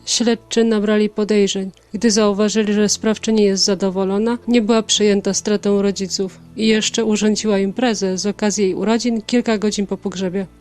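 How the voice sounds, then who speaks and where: A woman playing a calm, matter-of-fact taxi passenger in an audio drama. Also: mid-range voice, moderate pace, few pauses, soft background music, lightly acted. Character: calm female taxi passenger recounting a grim crime.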